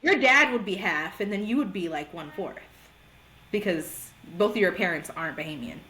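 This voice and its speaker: Lively, bright-voiced young woman podcaster. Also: mid-range voice, brisk, rough recording. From Georgia, US. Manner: animated, laughs often, slangy. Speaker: podcast co-host. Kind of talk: casual chat.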